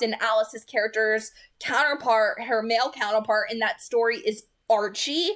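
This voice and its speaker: High-voiced young woman reading and commenting. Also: a bit bright. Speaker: amateur reader and commentator. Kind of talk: novel read-aloud and casual commentary.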